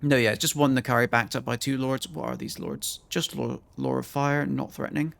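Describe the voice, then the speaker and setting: A male streamer with a croaky voice, chatting casually to his viewers as he thinks aloud and plans his moves in a strategy game. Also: mid-range voice, brisk, fairly bright tone. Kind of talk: casual gameplay commentary to chat.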